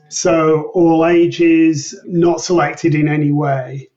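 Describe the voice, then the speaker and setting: A man giving measured, precise, methodical explanations as a researcher being interviewed. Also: fairly high pitch, darkish tone, recorded from a distance, dull audio.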